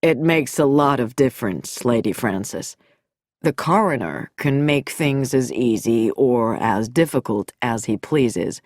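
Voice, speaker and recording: A woman voicing a smooth, coolly amused middle-aged male doctor who speaks in a measured, quietly mocking way. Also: very deep voice, lively intonation, no room sound, studio quality, pitched below the performer's own voice, heavily acted. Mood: suave, amused.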